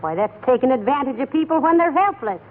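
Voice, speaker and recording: Plain-spoken, dry, gently scolding housewife, 1940s radio comedy, narrow band and noisy. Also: female voice, sits high, lively intonation, fast. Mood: sensible. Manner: plain-spoken, dryly correcting. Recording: tinny, noisy 1940s radio, studio audience.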